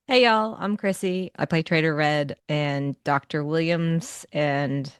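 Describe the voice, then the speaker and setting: Chatty female guest, slightly low pitch, dry sound, folksy and self-deprecating storytelling. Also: slow, clear, resonant tone, dry recording. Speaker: voice actor and executive producer. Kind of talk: casual anecdote-telling.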